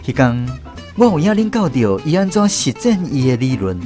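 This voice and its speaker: A man's voice: a little high, sing-song, moderate pace, music in the background. A man with a Taiwanese accent narrating a scripted first-person story as the narrator of a radio drama.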